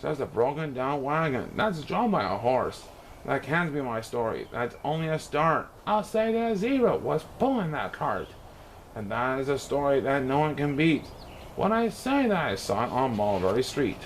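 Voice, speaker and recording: Animated man reading a rhyming storybook. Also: medium pitch, moderate pace, a little hiss or hum. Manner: theatrical, expressive delivery. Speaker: storybook reader. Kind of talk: animated read-aloud of a rhyming children's storybook.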